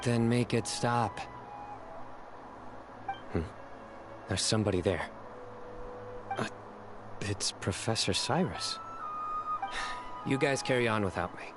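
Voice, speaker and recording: A low-voiced young man, stern and proud. He delivers formal, dry-witted game dialogue in a deadpan way, with music underneath. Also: slow pace, pauses often. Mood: calm. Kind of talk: video game voice acting.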